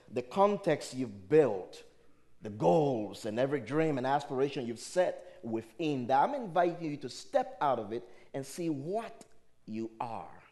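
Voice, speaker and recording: Male spiritual speaker, Liberian accent and native dialect speaker. Fairly high, very lively pitch, emphatic motivational sermon delivery. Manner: emphatic, rhetorical, questioning the audience. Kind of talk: motivational sermon to a live audience.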